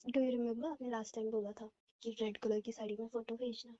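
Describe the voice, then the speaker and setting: A woman's voice: on the high side, flat delivery, fairly quick, mellow. A young woman on a phone call, giggling, coy and teasing in casual chat. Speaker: caller. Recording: dull lo-fi phone call recording.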